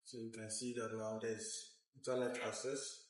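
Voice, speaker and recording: A man presenting a hands-on technical tutorial, explaining step by step in a halting way. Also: lowish voice, little pitch movement. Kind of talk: hands-on instructional walkthrough. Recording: roomy echo, uneven distance from mic.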